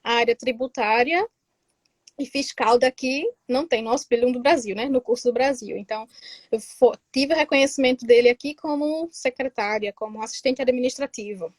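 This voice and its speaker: A lively woman tells her story in a casual interview. Her voice is high, and the sound is dry and close. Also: a little fast, no room sound. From Northeast Brazil, Pernambuco interior. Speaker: guest, tax assistant in Germany.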